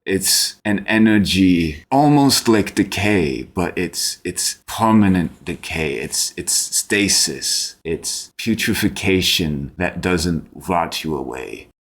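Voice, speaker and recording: A man narrating a tabletop fantasy game as game master, deliberately and with dramatic pauses. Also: low voice, lively intonation, fairly bright tone.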